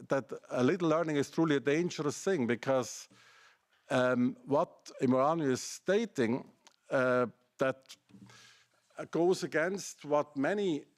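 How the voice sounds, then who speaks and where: A middle-aged man with a German accent giving a seminar presentation, building his case through pointed rhetorical questions. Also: mid-range voice. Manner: argumentative, asks rhetorical questions. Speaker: academic speaker.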